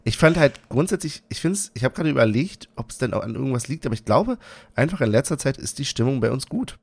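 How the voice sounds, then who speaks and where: A man's voice: medium pitch, sing-song, fast talker, creaky, a little mellow, breathy edge, no echo. A man from Berlin co-hosting a casual football podcast, teasing and joking with his co-host. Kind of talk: casual chat about football.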